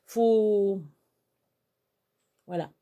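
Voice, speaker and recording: A woman's voice: medium pitch, fairly even intonation, extremely slow, narrow, tinny audio. An older woman from central France, chatting casually to her followers with many hesitations and restarts while she works on crafts. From Touraine, France. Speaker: craft vlogger. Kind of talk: rambling casual chat.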